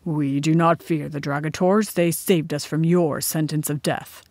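Woman as a firm, measured rebel king. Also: deep voice, steady tone, darkish tone, no echo. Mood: calm, firm.

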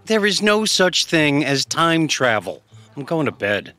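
A skeptical, smug B-movie fellow. His delivery is slow and forceful, with faint music behind. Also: a little high, very animated, exaggerated voice.

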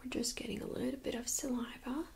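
A woman speaking in a soft, breathy near-whisper, gently giving instructions as a medical examiner in an ASMR role-play. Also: on the high side, steady tone, quick pace. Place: small room indoors.

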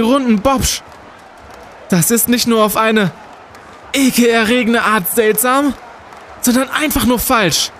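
A man's voice: very high-pitched, a bit bright, a little hiss or hum. A man playing an indignant, pedantic young student detective in a radio play, speaking emphatically. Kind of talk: scripted radio play acting.

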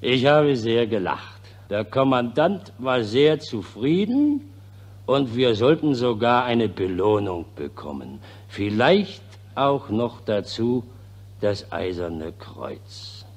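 An actor playing a smug, callous, boastful rear-echelon soldier in a 1940s radio play. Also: male voice, mid-range voice, very animated, slow. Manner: gloating, self-satisfied.

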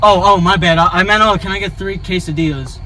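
A man's voice: sits high, quick pace, bright, forceful tone. A man casually ordering as a drive-thru prank, hesitant and stammering, often repeating his words. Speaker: prank vlogger. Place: in a car at drive-thru speakers. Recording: car noise, outdoor drive-thru.

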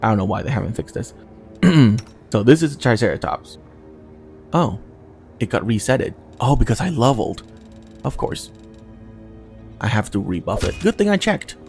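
A man's voice: mid-range voice, sing-song, normal speed, pauses often, darkish tone, soft background music. A man casually commenting on his video game run, with chatty and exasperated asides. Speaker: gaming channel host.

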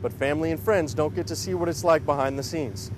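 A young adult man reading a scripted military news report in a crisp announcer delivery. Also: fairly high pitch, quick pace, noisy background.

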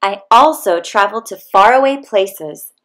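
A woman reading a children's picture book aloud as scripted narration, with expressive, deliberate storytelling delivery. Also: medium pitch. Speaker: storybook reader.

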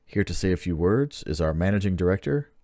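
A man's voice: deep voice, expressive, brisk, dark tone, dry recording, clean studio sound. A man giving a sincere scripted announcement to listeners.

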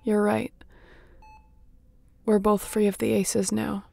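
Woman acting a gravelly, tender spaceship captain. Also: medium pitch, little pitch movement, takes it slowly. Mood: sober, weary. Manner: soft, sighing, emotionally open. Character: battle-worn female spaceship captain, tender and wry. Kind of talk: intimate audio roleplay acting.

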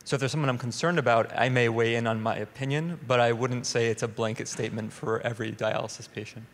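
Male physician panelist, thirties, flat intonation, mid pitch, echoing hall. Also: fast. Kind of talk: explanatory panel answer. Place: large conference hall stage. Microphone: handheld microphone. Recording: reverberant hall sound.

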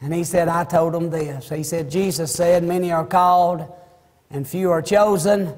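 A man's voice: fairly high pitch, fairly even intonation, moderate pace, darkish tone. An older man in his sixties with a Southern US accent, preaching a fervent, emphatic, folksy church sermon. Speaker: Baptist preacher. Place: church sanctuary, indoors. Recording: echoey church hall with long reverb.

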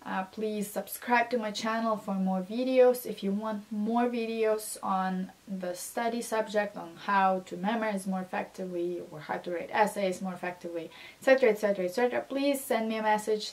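A woman's voice: mid-range voice, moderate pace, low-quality recording. Young woman, non-native English speaker, earnest and encouraging, gesturing as she talks, small room, camera in front. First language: not English. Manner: animated, gestures with both hands while talking; earnest, encouraging, many fillers. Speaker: vlogger. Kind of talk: casual talking-head advice.

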